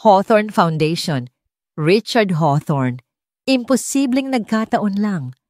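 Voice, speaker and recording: A woman's voice: medium pitch, lively intonation, slow pace, darkish tone, no echo, clean studio sound. A woman with a Filipino accent voicing an earnest, proud young librarian in an emotional romance audiobook. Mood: doubtful.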